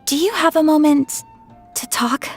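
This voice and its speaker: An actress playing a gentle, modest young noblewoman in a video game, with formal, polite delivery. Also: sits high, measured pace, soft background music. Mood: confiding.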